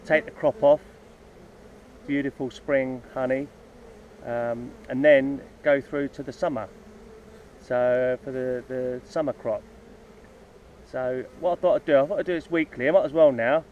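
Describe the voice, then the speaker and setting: A man's voice: medium pitch, fairly even intonation, measured pace, slight background noise. A man casually talking outdoors by his beehives, rambling with lots of ums and restarts as he explains his plans. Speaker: hobby beekeeper making videos. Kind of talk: casual unscripted vlog talk. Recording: dull lo-fi outdoor sound, faint insects buzzing.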